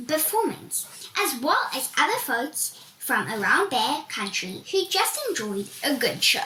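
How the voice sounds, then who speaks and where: A woman reading a children's storybook aloud in a deliberate, expressive storytelling manner, with emphatic swoops. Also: high-pitched. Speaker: volunteer story reader. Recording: echoey room with background hiss.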